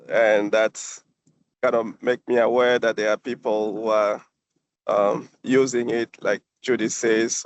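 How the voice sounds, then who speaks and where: A man's voice: medium pitch, vocal fry, dry recording. A man from Congo, introducing himself earnestly at a committee meeting. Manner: earnest, with fillers. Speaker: committee member.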